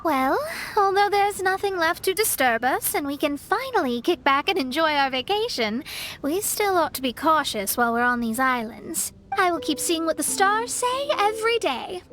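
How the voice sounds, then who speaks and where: A woman voicing a proud, vigilant young astrologer in a video game, articulate and measured. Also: very high voice, expressive, fairly bright tone, a little hiss or hum. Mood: thoughtful.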